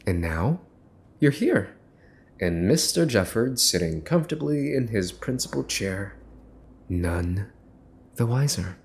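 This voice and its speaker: A man's voice: on the low side, big pitch swings, slow, long pauses, exaggerated voice. A man playing a smug, menacing young mafia boss's son in an ASMR roleplay, mocking and teasing with theatrical deliberation. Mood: gloating, amused. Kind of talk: ASMR roleplay, dramatic monologue to the listener.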